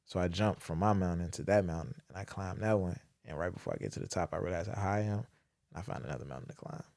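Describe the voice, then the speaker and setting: A man's voice: sits low, fast talker, vocal fry, darkish tone, no echo, clean studio sound. A young adult man, hosting a podcast and talking candidly about his fears in casual, profane speech. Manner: candid, profane, reflective storytelling. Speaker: podcast host. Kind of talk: casual chat.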